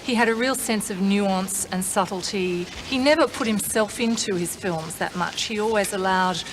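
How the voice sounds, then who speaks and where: A woman's voice: medium pitch, normal speed. A woman, thoughtfully recalling a colleague in an interview. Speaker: interviewee, journalist and filmmaker. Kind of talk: reflective interview recollection. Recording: clear background noise and ambience.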